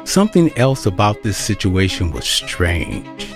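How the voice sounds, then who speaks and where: Lively low-voiced woman narrator. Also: unhurried. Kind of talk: scripted audio drama narration. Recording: music behind the voice.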